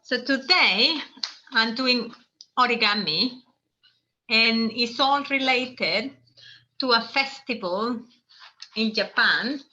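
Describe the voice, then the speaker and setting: A woman's voice: a little high, sharp tone, dull audio, slight background noise. A woman from El Salvador hosting a live online origami lesson, explaining in a friendly, meandering way with restarts. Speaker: workshop host.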